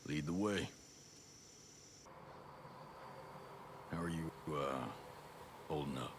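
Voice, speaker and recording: An actor playing a calm special forces soldier in a video game, low-key, reassuring and businesslike. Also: male voice, normal speed, noisy background. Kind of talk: video game cutscene acting.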